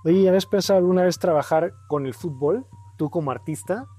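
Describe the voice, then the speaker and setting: A woman's voice: fairly low pitch, a little fast, warm tone, faint music behind. A woman co-hosting a conversational radio interview. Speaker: radio co-host.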